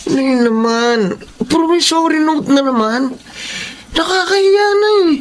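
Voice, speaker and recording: A man's voice: extremely high pitch, lively intonation, slow, full character voice. A man playing a young farmers' son, resentful and ashamed of his parents, in a Filipino radio drama. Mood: defiant, exasperated. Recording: dramatic music behind the voice.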